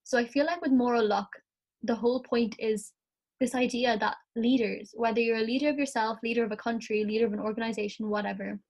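A young Irish woman, a student, talking through ideas in a casual class discussion, her voice a little croaky from the morning. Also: a little high, steady tone, a little fast, darkish tone. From Ireland. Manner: chatty, thinks aloud, frequent "like".

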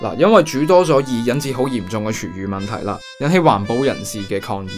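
A man's voice: mid-range voice, animated, quick pace, natural voice. Man playing a sardonic Hong Kong older brother. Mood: dry, sardonic. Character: sardonic, take-charge older brother, a young gamer. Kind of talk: comic audio drama acting. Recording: music behind the voice.

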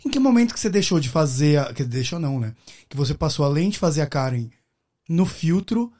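A man hosting a podcast, asking his guest questions in a relaxed, thoughtful way. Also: a little high, a little fast. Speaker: podcast host. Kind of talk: casual interview chat.

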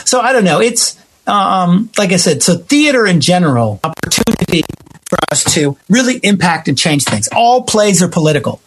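An American man talking casually about politics in an emphatic, explanatory way, repeating phrases for stress, with a husky voice. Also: high voice, sing-song, normal speed, a little hiss or hum, low-quality recording.

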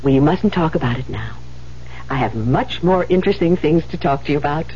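A woman's voice: deep voice, lively intonation, fairly quick, warm tone. An actress playing a kindly, motherly older nun in a 1970s radio drama, fussing and cajoling with affection. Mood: gentle, hushed. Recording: dull, noisy 1970s radio recording.

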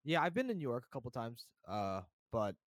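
A man's voice: mid-range voice, big pitch swings, a little fast, no room sound, studio quality. A young man from New Jersey with an American accent, chatting casually as a laid-back podcast guest. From New Jersey, United States. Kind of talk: casual chat.

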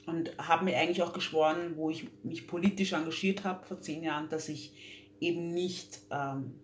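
A woman's voice: low-pitched, steady tone, normal speed. Woman, young adult, interview guest, earnest, echoey room, faint hum. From Austria. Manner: earnest, frequent fillers and restarts. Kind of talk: casual interview talk.

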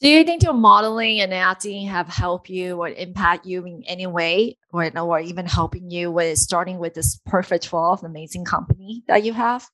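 A woman's voice: fairly low pitch, moderate pace, hardly any pauses, fairly bright tone, low-quality recording. A woman hosting a casual interview, effusive in manner, with non-native English phrasing. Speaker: interviewer, host.

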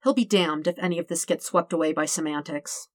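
A woman's voice: deep voice, fairly quick, dry recording, clean studio sound. A woman reading a fictional story aloud as a scripted narration. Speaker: podfic reader.

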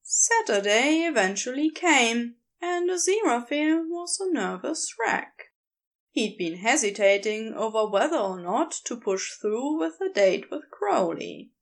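A woman reading a story aloud as narrator, in an expressive scripted style. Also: fairly high pitch, a little slow. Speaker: podfic narrator.

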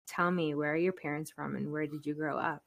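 A woman's voice: deep voice, fairly even intonation, a little fast, gravelly. A young woman hosting a podcast, asking friendly, curious questions and giggling now and then. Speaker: podcast host. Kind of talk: casual interview chat.

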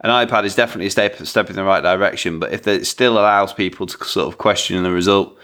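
Low-voiced man hosting, full of restarts. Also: fairly even intonation, fast, fairly bright tone. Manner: rambling, hesitant with many restarts. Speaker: podcast host. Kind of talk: casual chat.

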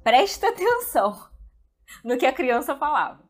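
A woman's voice: high voice, big pitch swings, normal speed. A woman with a Brazilian Portuguese accent, a translator talking chattily and directly to her viewers. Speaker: translator and video host. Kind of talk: casual talk to camera.

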